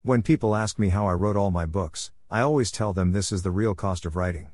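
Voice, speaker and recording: A man reads a personal essay as scripted narration. His voice sits on the low side, and the sound is dry, with very little room in it. He works as the narrator of an opinion column. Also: a little fast, a little mellow, no room sound.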